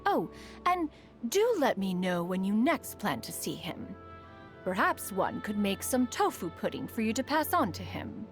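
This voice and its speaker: A woman's voice: mid-range voice, very animated, measured pace. A woman voicing a proud, refined, aloof ancient immortal in a video game, speaking formally and loftily. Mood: curious, reserved. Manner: formal, haughty, calls herself "one". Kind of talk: scripted video game character voice lines. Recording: music behind the voice.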